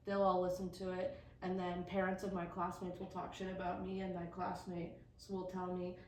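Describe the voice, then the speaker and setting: A woman's voice: on the low side, monotone, moderate pace, a little mellow. A young American woman from small-town Wisconsin, chatting candidly and casually as a podcast host, with some swearing. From small-town Wisconsin, USA. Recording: roomy, distant mic, faint background noise.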